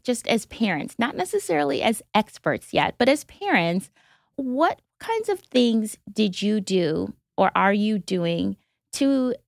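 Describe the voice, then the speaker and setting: A woman in her forties hosts a conversation-driven podcast, speaking in an easy, conversational way. Her voice sits at an ordinary middle pitch. The recording is close and dry, with no room sound. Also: gravelly, dry recording. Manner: warm conversational, sets context with statistics. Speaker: podcast host. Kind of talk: interview podcast.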